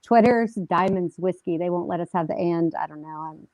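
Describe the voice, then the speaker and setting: A woman's voice: lowish voice, moderate pace, a little mellow, no echo, low-quality recording. A woman singer chatting casually as a guest in an interview, upbeat and full of fillers.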